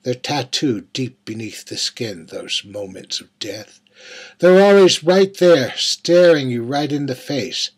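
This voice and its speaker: A man performing a dramatic monologue as a bitter, darkly joking young man of twenty-one, with a husky voice and folksy, colloquial asides. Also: mid-range voice, lively intonation, slow pace. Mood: reflective, haunted.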